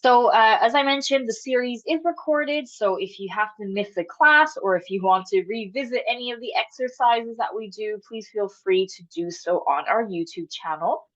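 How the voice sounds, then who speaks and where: A woman's voice: on the high side, moderate pace, few pauses, a bit bright, lo-fi sound. A woman with a cold, sniffling now and then, leading a live online exercise class in a friendly, encouraging, chatty way. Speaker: exercise instructor. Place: home room, video call.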